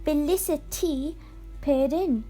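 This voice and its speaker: A young female storyteller reads a fairy tale aloud. Her voice is high and her delivery is slow and scripted, with music underneath. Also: warm tone. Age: child or very young. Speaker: storybook reader.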